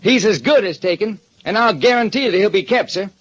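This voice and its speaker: An actor playing a police lieutenant who talks loudly and emphatically in a raised voice. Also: male voice, expressive, a little fast. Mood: confident. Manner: loud, emphatic, high-pitched. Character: anxious police lieutenant, boastful then worried. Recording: dull lo-fi old radio broadcast.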